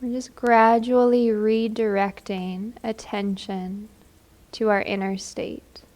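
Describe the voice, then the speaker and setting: A woman leading a guided meditation in a gentle, soothing, unhurried manner. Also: mid-range voice, flat delivery.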